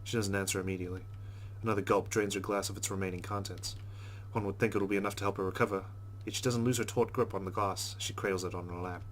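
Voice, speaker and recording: Fast-talking low-voiced man reading game narration. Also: steady tone, slight background noise. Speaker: let's play host. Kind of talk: reading game narration aloud with casual asides.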